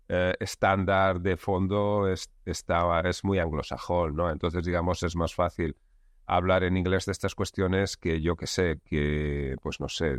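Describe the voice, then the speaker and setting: Low-voiced man explaining calmly. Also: no room sound, clean studio sound. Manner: measured, explanatory, leans on filler words like digamos. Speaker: guest expert. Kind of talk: conversational interview.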